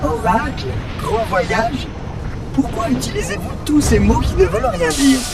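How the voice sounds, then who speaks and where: A man's voice: very high-pitched, sing-song, unhurried, loud music behind the voice, a loud crowd, full character voice. A man voicing a fussy, flippant robotic oracle in a video game, with a chirpy, easily exasperated manner. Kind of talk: dramatic video game acting. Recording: very noisy, crowded outdoor background.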